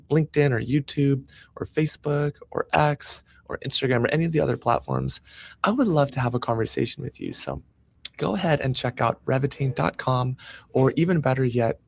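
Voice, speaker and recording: A man's voice: medium pitch, gravelly, no room sound. A man hosting a live-streamed interview show, talking in an upbeat, eager and promotional way. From United States. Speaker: podcast host.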